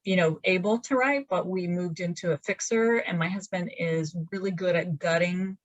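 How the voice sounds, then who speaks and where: A woman's voice: on the low side, normal speed, dry recording, muffled recording. A woman in her fifties, a romance author, chatting casually in a video-call interview from her laundry room, rambling with many fillers and restarts. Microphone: webcam on a video call.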